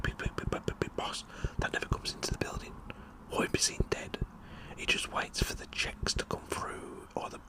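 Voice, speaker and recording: A man with a British accent whispering a rambling, tongue-in-cheek ASMR monologue in a breathy voice, often repeating words for effect. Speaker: ASMR performer.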